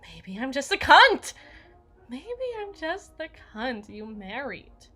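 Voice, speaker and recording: A woman's voice: fairly high pitch, sing-song, slow, slight background noise, exaggerated voice. A woman playing an unstable, brooding evil queen in an intense, theatrical audio roleplay, speaking in formal, dramatic monologue. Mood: bitter, unhinged. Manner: theatrical, formal, intense, swinging between hushed and forceful.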